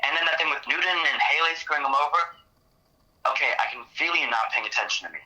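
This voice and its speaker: An actor playing a concerned research partner, frank and gently chiding, in a conversational horror drama. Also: mid-range voice, fast, rough recording.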